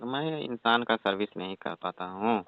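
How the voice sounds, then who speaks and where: A man's voice: fairly low pitch, expressive, normal speed, dry recording. A man playing a reluctant, exasperated young village electrician, grumbling his refusals in a comic phone skit. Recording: tinny, dry phone-call recording.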